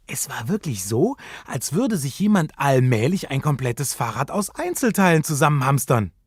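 A man's voice: mid-range voice, big pitch swings, moderate pace, slightly rough, no echo, studio quality. A man narrating a children's detective radio play in a suspenseful, dramatic storytelling manner.

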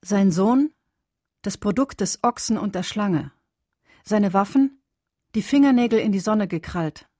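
A solemn voice of litany in a radio poem drama. The delivery is slow, stark and measured, with some huskiness. The sound is dry and close. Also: female voice, mid-range voice, expressive, dark tone, dry recording. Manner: measured, stark questions and pronouncements. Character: solemn female poetic voice of litany. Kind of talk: dramatic poetry recitation in a radio play.

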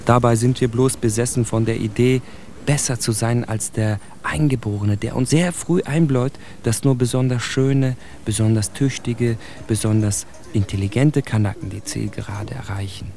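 A man's voice: medium pitch, normal speed, gravelly, warm tone, a little hiss or hum, natural voice. A man playing a bitter, articulate immigrant in a literary radio drama monologue, with intense, rhetorical phrasing. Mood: bitter, insistent. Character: bitter, articulate immigrant man indicting his generation's plight. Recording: music bed under the voice.

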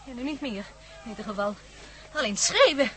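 Anxious, high-voiced wife. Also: female voice, animated, a little fast, fairly bright tone, a little hiss or hum, poor audio. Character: anxious, alert wife searching for her captured husband. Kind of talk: radio play acting.

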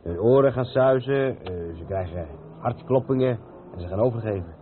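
An actor playing an experienced, patient truck driver who explains things step by step in a radio play. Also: male voice, mid-range voice, moderate pace, natural voice. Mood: explaining, chatty. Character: experienced, patient long-haul truck driver showing the ropes. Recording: tinny, band-limited old radio recording with noise.

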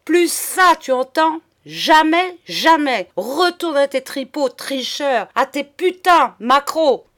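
A woman's voice: sits high, lively intonation, slow, few pauses, a bit bright, pitched above the performer's own voice, exaggerated voice. A woman voicing a furious, foul-mouthed bourgeois lady in a story, explosive and full of contempt. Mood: enraged contempt. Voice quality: hoarse, harsh shriek.

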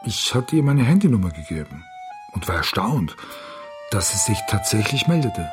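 A man's voice: lowish voice, big pitch swings, moderate pace, soft background music. An actor playing a stubborn, haunted man searching for his lost daughter, wry and evasive, in a radio crime drama.

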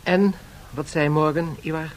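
An actor playing an excitable, harried male aide in a 1970s radio drama, theatrical and expressive. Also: unhurried, close to an everyday voice. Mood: excited. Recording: vintage radio recording, low rumbling noise.

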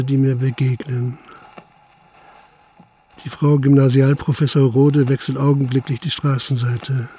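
An actor playing a principled, dogged Prussian police commissioner in a German radio drama, formal and articulate. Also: male voice, medium pitch, warm tone, slight background noise, close to an everyday voice. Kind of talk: German radio drama acting, dialogue and first-person narration.

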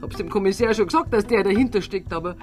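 A woman's voice: mid-range voice, fast, a little mellow, natural voice. Woman playing a stubborn Bavarian aunt, faint music behind. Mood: bitter, accusing. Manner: blunt, insistent, wounded. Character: stubborn, indignant, grieving aunt, plain small-town Bavarian woman. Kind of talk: naturalistic radio drama dialogue.

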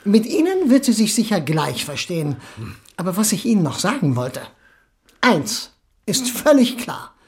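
A man playing an effusive, talkative middle-aged émigré husband in a German radio drama, with a high-pitched voice and emphatic delivery. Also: sing-song, moderate pace. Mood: insistent.

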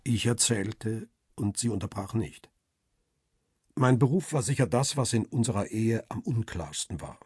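A middle-aged family man narrates a radio crime drama in a low, very raspy voice. His storytelling is measured and reflective, recorded dry and close. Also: expressive, darkish tone, no room sound, heavily acted. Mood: quietly reassuring, uneasy. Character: middle-aged male paparazzo, devoted husband and father, reflective. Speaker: narrator and lead actor.

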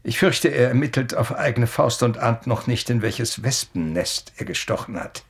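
Casting: elderly male professor, gravelly, courtly, deliberate and evasive, radio drama. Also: medium pitch, animated. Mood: weary, gentle. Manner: courtly, deliberate, slightly hesitant. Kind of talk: German radio play acting.

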